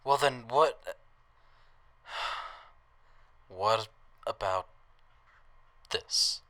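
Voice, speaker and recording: A man playing a wry, roguish wasteland drifter with a soft heart in an audio drama. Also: fairly low pitch, extremely slow, exaggerated voice. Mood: bargaining.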